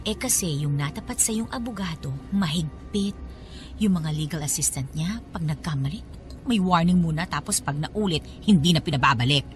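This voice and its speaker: Chatty young filipina office girl, radio drama, super animated and gossipy, music behind. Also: low-pitched, moderate pace. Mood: gossipy, playful. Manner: gossipy, exclamatory. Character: chatty, excitable young female office worker.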